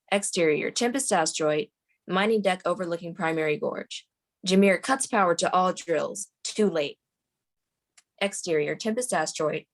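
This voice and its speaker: A woman reading scripted stage directions aloud as the narrator of a screenplay table read. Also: fairly low pitch.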